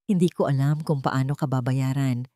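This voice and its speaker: A woman's voice: extremely low pitch, fairly even intonation, moderate pace, warm tone, voice pushed down. A woman plays an earnest, pleading father in love from a romance storybook. He speaks tenderly and with feeling. The sound is dry, without room echo. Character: remorseful grown man, earnest, tender widowed father in love. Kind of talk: audio storybook romance acting.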